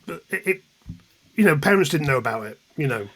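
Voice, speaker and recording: English man with a higher-pitched voice. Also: expressive, fairly quick, a bit bright. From England, East Midlands. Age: 50s. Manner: chatty, anecdotal, self-deprecating. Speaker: guest, music journalist and author. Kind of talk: casual reminiscing in an interview.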